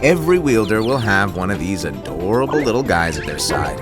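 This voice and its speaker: A breezy, teasing fantasy mentor. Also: male voice, lowish voice, big pitch swings, fairly quick, over music. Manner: breezy and teasing, then ominous warnings. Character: playful, mysterious male mentor in a fantasy world. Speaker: master of apprentices. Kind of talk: video game cutscene acting.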